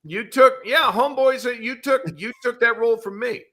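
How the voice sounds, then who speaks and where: A man's voice: extremely high pitch, steady tone, normal speed, pushed and bright. A man in a casual chat as a podcast guest, talking in a blunt, emphatic way.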